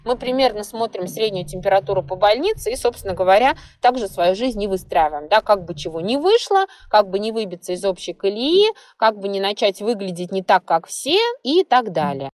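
A woman's voice: mid-range voice, fairly quick, few pauses, no echo. A woman hosting a podcast, talking conversationally and expressively in a monologue. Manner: expressive, often acts out imagined inner voices. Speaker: podcast host.

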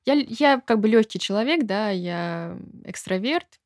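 A woman's voice: mid-range voice, lively intonation, measured pace, dry recording, clean studio sound. A woman in her twenties chatting casually as a guest on a podcast. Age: 20s. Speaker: podcast guest, small shop owner. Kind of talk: casual interview chat.

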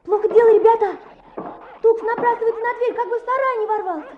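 An excitable young schoolchild in a radio drama. The voice is extremely high, on a noisy, roomy old recording. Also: female voice, fast talker, narrow, tinny audio. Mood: alarmed, excited. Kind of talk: Soviet radio play acting.

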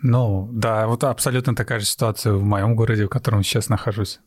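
Fast-talking man with a dry, close sound. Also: fairly low pitch, little pitch movement, dry recording. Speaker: podcast co-speaker asking questions. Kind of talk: casual conversation.

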